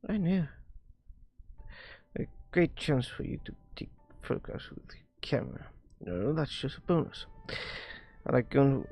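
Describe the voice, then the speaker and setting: Gravelly man reading game dialogue aloud. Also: medium pitch. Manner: halting, stumbles and rereads lines. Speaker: streamer. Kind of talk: live read-aloud of visual novel dialogue. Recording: music behind the voice. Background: music.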